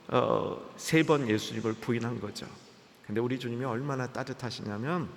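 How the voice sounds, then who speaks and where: Gravelly-voiced male preacher in a big hall. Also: medium pitch, slight background noise. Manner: animated preaching, acting out quoted voices. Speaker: pastor. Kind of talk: sermon. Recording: long hall reverb.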